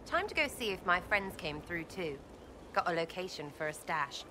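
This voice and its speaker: An actress playing a blunt, irreverent young elf archer in a game cutscene, talking in a casual, clipped way. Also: female voice, on the low side, sing-song, moderate pace, noisy background. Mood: cheeky.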